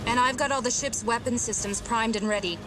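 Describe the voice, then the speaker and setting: A woman acting as a crisp, supportive shipboard assistant in a sci-fi war game. Her voice is fairly high, with music clearly playing behind her briefing. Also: fairly quick, natural voice, rough recording. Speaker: ship's support officer character. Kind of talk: scripted military sci-fi game dialogue. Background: music, vehicle noise.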